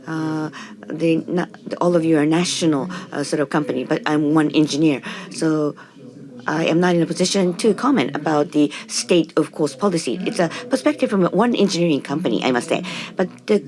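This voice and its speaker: A woman engineer speaking on a conference panel, halting and restarting her phrases often. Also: low voice, darkish tone. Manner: halting, with many restarts and fillers.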